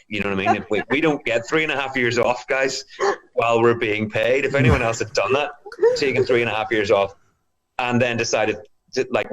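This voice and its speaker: A man's voice: on the low side, poor audio. A man from Northern Ireland with a Northern Irish accent, answering as an interview guest in a casual, thoughtful, rambling way. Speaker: guest, singer-songwriter.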